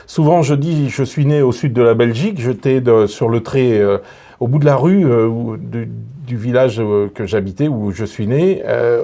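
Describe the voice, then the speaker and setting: Man from northern france, mellow voice, hesitant, repeats words, radio guest. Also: on the low side, gravelly. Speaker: guest, retired police officer. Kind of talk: radio interview, reflective storytelling.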